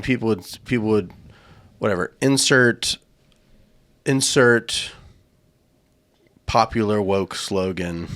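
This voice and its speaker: A man's voice: deep voice, a bit bright, no echo. A man hosting a podcast, talking earnestly in casual chat, thinking aloud and restarting his sentences as he goes. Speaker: podcast host.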